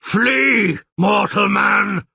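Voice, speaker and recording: A man voicing a huge, blustering, irascible bear-headed monster in a gruff, raspy storybook reading. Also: high voice, very slow, bright, forceful tone, no room sound, pitched above the performer's own voice, exaggerated voice. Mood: roaring threats. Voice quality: raspy, roaring. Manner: blustering, indignant, theatrical.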